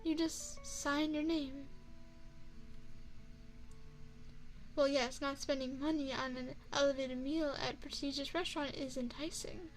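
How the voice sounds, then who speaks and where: A woman's voice: high-pitched, fairly even intonation, normal speed, soft background music, lightly acted, low-quality recording. A woman voicing an elegant, seductive vampire chef in an ASMR roleplay, speaking formally with refined, teasing poise, close to the microphone. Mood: coy, matter-of-fact. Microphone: close binaural microphone.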